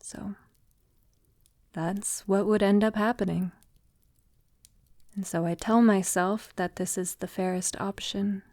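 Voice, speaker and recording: A woman playing a gentle, conscience-troubled vampire lover in an intimate ASMR audio roleplay, speaking softly, tenderly and hesitantly. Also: mid-range voice, fairly even intonation, takes it slowly, long pauses, no room sound, clean studio sound, lightly acted. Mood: pensive, troubled. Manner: soft, tender, hesitant, often dropping to a whisper. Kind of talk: ASMR girlfriend roleplay, soft intimate acting.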